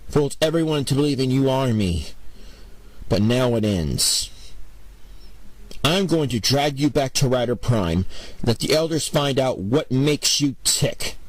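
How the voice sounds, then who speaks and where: Man acting a slow-spoken, resolute superhero. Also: mid-range voice, lively intonation, dry recording. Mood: defiant. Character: determined male superhero on the run, earnest. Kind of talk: superhero audio drama acting.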